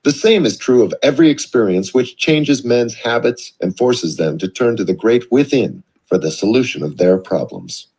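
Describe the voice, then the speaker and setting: Man, husky voice, lively rising and falling pitch, measured earnest audiobook reading. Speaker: audiobook narrator. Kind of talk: scripted audiobook narration.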